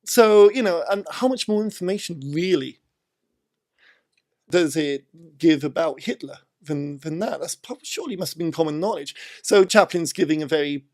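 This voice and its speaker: A British man with a fairly high voice discusses film casually. He explains his points step by step, leaning on repeated words for emphasis. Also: expressive, moderate pace, darkish tone. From Britain.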